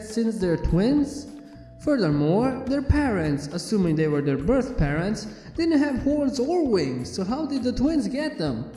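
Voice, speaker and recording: A man with a notably high, soft-toned voice narrates a first-person tale. His delivery is wry and theatrical, and music plays underneath the reading. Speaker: fan fiction narrator.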